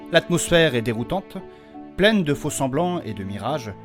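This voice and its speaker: A man's voice: a little high, very animated, moderate pace. A man reading scripted, dramatic narration as the storyteller of a fantasy audio fiction. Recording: music with guitar behind the narration.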